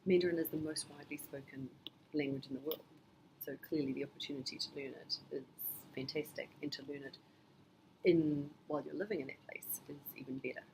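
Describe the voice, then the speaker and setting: A middle-aged woman from New Zealand, answering her child's interview questions in a thoughtful, measured way with frequent pauses and ums. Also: sits low, fairly even intonation, darkish tone, slight background noise, rough recording. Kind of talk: casual family interview.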